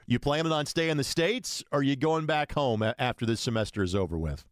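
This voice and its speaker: A man's voice: mid-range voice, a little fast, dry recording. Male sports radio host, dry studio sound. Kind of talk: radio interview.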